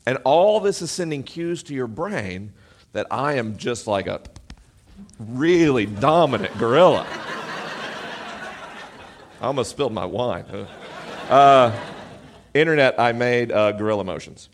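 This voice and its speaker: A man with a deep, booming voice, hosting a live podcast event and talking to his audience from the stage in an animated, self-deprecating way through an amplified mic. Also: measured pace, pauses often, a little hiss or hum. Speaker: podcast host.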